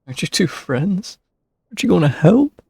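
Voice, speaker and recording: A woman with a husky voice giving casual, offhand commentary as a streamer reacting to a game. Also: lowish voice, very animated, moderate pace, warm tone, no echo, studio quality.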